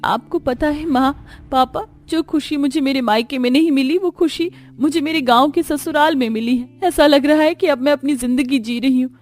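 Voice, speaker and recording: A woman voicing a gentle, emotional young daughter-in-law in a cartoon story, sweet and earnest. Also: high-pitched, normal speed, pitched above the performer's own voice, close to an everyday voice. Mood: tearful, resolute.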